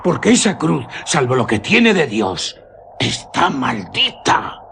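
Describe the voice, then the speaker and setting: A man with an accent from Spain playing a rustic village guide who tells an old cursed legend in a grave, dramatic, deliberate radio drama style. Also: medium pitch, wildly swooping pitch, creaky, bright, forceful tone, slight background noise, exaggerated voice. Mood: ominous, indignant. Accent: Spanish from Spain.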